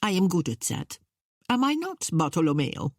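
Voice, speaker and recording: Woman acting an imperious, mocking queen, husky voice. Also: fairly low pitch, sing-song, normal speed, darkish tone, no echo, clean studio sound. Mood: self-assured. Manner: haughty, scornful, declarative. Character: imperious, mocking middle-aged exiled British queen.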